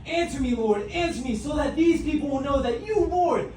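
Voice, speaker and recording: A man's voice: very high voice, lively intonation, moderate pace. Man playing a declamatory prophet, distant and echoey. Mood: pleading. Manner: loud, declamatory, emphatic. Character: fiery male prophet, taunting rivals, pleading with God. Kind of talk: dramatized scripture recitation. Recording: roomy live recording, distant mic, faint noise.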